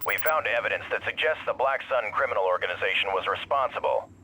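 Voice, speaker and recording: An officious imperial officer for a video game. He talks fast in a low, forceful voice, formal and clipped, with faint noise behind. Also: expressive, poor audio. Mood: formal reporting.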